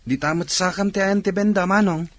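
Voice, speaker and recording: A man's voice: high-pitched, fairly even intonation, a little fast, a bit bright. A man playing a respectful, curious younger friend in a comedic radio drama. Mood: inquisitive.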